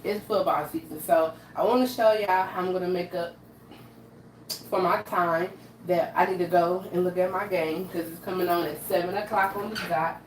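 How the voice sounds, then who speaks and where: Raspy, low-voiced woman hosting a cooking stream. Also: fairly even intonation, moderate pace. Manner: chatty, repeats "okay?" to her audience. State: raspy from allergies. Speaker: host of a live cooking stream. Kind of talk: casual live cooking demo talking to viewers. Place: home kitchen. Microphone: camera she adjusts by hand. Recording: roomy echo, faint background noise.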